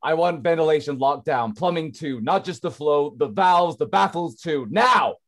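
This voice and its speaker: A man's voice: fairly high pitch, normal speed, a bit bright. A man playing an alarmed colony boss giving emphatic orders in a screenplay table read. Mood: commanding. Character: Al, gruff male colony boss raising the alarm.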